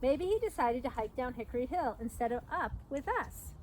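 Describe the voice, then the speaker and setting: A woman's voice: high voice, animated, moderate pace, darkish tone, low-quality recording. A middle-aged woman reads a picture book aloud to young children outdoors, adding simple explanatory asides. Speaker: storytime reader, parks staff. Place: outdoors at an open trailhead by a fence. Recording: outdoor recording with clear background noise.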